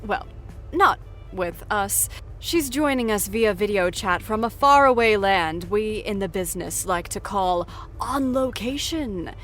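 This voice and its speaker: A woman's voice: mid-range voice, expressive, a little slow, a bit bright, soft background music. A woman hosting an after-show in a playful, theatrical, teasing talk-show style.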